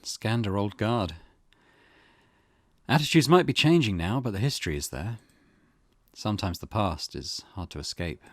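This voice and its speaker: A man's voice: fairly low pitch, animated, moderate pace, pauses often, no room sound, studio quality. A man hosting a gaming channel, giving casual let's play commentary and reading game text aloud.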